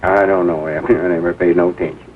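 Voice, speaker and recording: An actor playing a kindly older small-town storekeeper with a rural Southern drawl, in 1940s film style. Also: male voice, sits low, steady tone, fast talker, a little hiss or hum, natural voice. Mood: easygoing. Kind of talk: 1940s film comedy-drama acting. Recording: tinny, distant 1940s film soundtrack, music behind.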